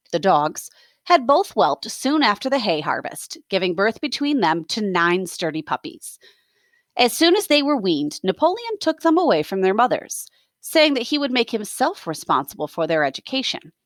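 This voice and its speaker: A woman reading a story aloud as a teacher, expressive, with explanatory asides. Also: on the low side, dry recording, studio quality.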